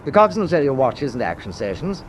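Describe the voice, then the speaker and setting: An actor playing a courteous British naval first lieutenant with a British accent and brisk manner in a radio drama. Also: male voice, medium pitch, big pitch swings, lightly acted. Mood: brisk, friendly. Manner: brisk, polite, officer-like. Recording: faintly noisy radio drama recording.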